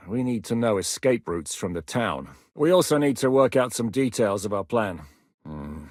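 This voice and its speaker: An actor playing a gruff, blunt medieval war captain who shouts battle orders. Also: male voice, mid-range voice, dry recording, clean studio sound. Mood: grim. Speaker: military commander. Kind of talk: game voice lines.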